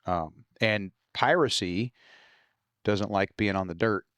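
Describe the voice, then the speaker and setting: A low-pitched American man chats as a podcast guest, an author explaining his work. His delivery is informal and sweary. The recording is dry and close, with little room sound. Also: slow, a bit bright, clean tone, dry recording. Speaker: guest author discussing own novels. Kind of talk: casual podcast conversation.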